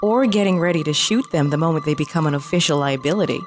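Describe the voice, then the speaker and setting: A woman's voice: deep voice, quick pace, soft background music, close to an everyday voice. A woman acting as a bold, flirtatious junior officer in a sci-fi audio drama. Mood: wry.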